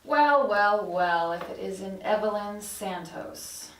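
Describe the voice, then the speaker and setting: A sardonic, officious secretary in her sixties acted by a woman delivers dry lines from a TV dramedy script at a middle pitch. The sound is roomy and echoing, with the microphone some way off. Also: expressive, slow. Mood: stern, smug. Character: brisk, sardonic sixty-something woman secretary in hell's office. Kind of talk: table read of a TV dramedy script. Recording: roomy echo, distant microphone.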